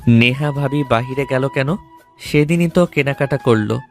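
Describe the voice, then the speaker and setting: A man's voice: medium pitch, normal speed, faint music behind, lightly acted. A man playing a coaxing young lover in an audio love story. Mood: scheming, coaxing.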